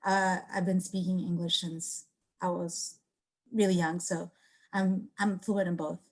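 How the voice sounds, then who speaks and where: A woman's voice: fairly low pitch, flat delivery, a little slow. A woman, a native Spanish speaker, giving study tips as a Spanish tutor in an online workshop, in a plain, instructive way.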